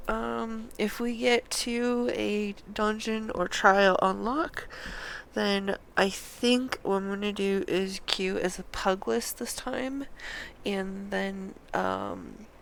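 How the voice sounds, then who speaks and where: Slow, gravelly, stuffy-nosed woman streamer. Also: mid-range voice, slight background noise. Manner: apologetic, chatty, reads game text aloud. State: congested, under the weather. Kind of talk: casual gameplay commentary and reading.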